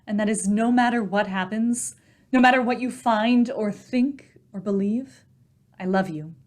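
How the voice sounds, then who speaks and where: Woman as worried loving wife, middle pitch, measured, earnest, old-time radio drama. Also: a little mellow, lightly acted.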